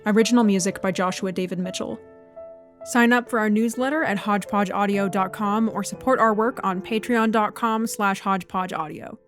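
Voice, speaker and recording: Female announcer for scripted credits, medium pitch, light music bed. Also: fairly even intonation, normal speed. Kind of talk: scripted narration.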